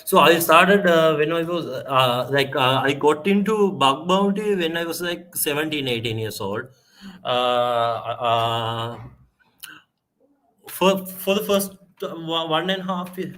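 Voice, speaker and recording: Young Sri Lankan man, bright voice. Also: a little high, low-quality recording. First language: Sinhala. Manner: halting, many fillers and restarts. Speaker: guest speaker. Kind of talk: live-stream interview.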